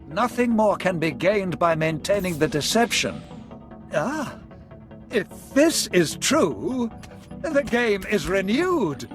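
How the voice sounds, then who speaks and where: A theatrical Sith lord from a video game, higher-voiced and animated, with swooping pitch over music. He is courtly, eloquent and scheming, every line formal. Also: takes it slowly, heavily acted. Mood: wry, resigned. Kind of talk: video game cutscene acting.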